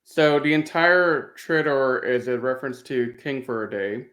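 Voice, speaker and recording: A man's voice: mid-range voice, steady tone, measured pace, fairly bright tone. Man chatting casually in an echoey room. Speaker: podcast co-host. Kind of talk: casual chat. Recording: echoey, roomy sound.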